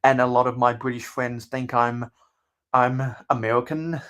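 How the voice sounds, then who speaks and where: A young man with a mild Australian accent, chatting casually as a channel host in a measured, halting way that circles back on his phrases. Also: medium pitch, steady tone, fairly bright tone. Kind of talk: casual livestream chat.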